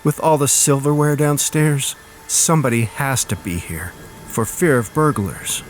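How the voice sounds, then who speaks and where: A man's voice: medium pitch, a little slow, voice pushed up, natural voice. Guy doing a bossy big sister, scornful and dismissive, spooky story reading over music. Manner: scolding, dismissive. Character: scornful, sensible elder sister. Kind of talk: dramatic horror story reading.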